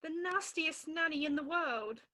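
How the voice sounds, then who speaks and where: An earnest young girl, played by a woman in a stage musical read-through. Her voice is high and bright, with an anxious, childlike manner. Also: normal speed. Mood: worried, earnest.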